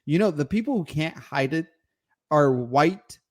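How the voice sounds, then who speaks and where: A man's voice: a little high, lively intonation, a little slow. A man co-hosting a podcast who talks bluntly and profanely in casual political banter. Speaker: podcast co-host.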